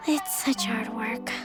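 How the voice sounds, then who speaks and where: Gentle, drained young priestess for a game: high, slow, breathy, sincere, faint music underneath. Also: female voice. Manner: soft, breathy, confiding, with sighs. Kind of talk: video game voice acting.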